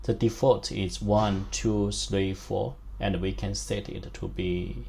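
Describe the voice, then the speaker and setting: Male product demonstrator, non-native English speaker, slow and halting, lowish pitch, step-by-step instructional read. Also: fairly even intonation, gravelly, warm tone, a little hiss or hum. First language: not a native English speaker. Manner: halting, simple phrasing in short chunks.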